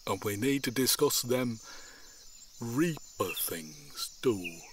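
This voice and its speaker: A man's voice: mid-range voice, expressive, takes it slowly, fairly bright tone. A male actor playing a naive, simple creature with rustic grammar in an audio drama. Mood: content. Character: childlike, simple creature guarding its ancestral park. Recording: audible outdoor nature noise.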